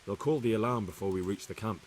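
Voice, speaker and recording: Game role: male actor as humble young soldier reporting to his superior, fairly low, plain and respectful. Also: fast, close to an everyday voice. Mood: careful, matter-of-fact. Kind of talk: video game cutscene acting.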